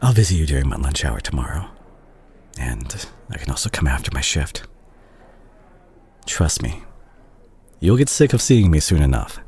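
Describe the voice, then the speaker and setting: A man acting as a remorseful young doctor talking intimately to his ex in an audio roleplay. His voice is low and husky, with lively swings in pitch. He speaks gently and haltingly, full of tenderness. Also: full character voice. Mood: tender, soothing. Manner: hesitant, soft-spoken, halting. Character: remorseful, tender male doctor, listener's ex-boyfriend.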